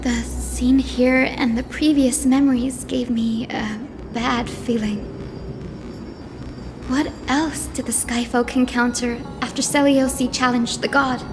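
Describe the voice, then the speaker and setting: A high young female voice over clear music, a gentle priestess from a fantasy game. She speaks softly and politely, with great earnestness. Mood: troubled, resolved. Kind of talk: video game voice acting.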